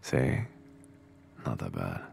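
Teasing young male love interest for ASMR roleplay, man acting: rock-bottom pitch, very gravelly, soft reassuring murmur. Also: slow, slight background noise, heavily acted. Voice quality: breathy whisper, gravelly. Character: young man sneaking his date out, teasing, tender, reassuring.

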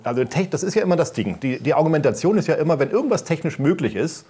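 Husky-voiced guy on a panel talk, explains stuff plainly, very matter-of-fact. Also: fairly high pitch, lively intonation, quick pace, mellow. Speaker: panel guest. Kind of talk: discussion panel.

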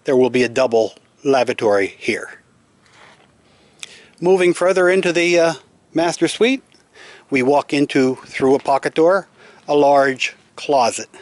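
A man's voice: mid-range voice, animated, measured pace, long pauses, no room sound. Man giving a matter-of-fact walk-through tour. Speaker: homeowner giving a house tour. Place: outdoors in an open timber-framed house under construction. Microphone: handheld camera, held by the speaker.

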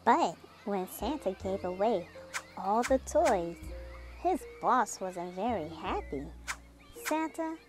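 A woman's voice: medium pitch, big pitch swings, slow pace. A woman reading a children's picture book aloud expressively. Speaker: children's book reader, channel host. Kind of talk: expressive storybook read-aloud. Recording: music behind the voice.

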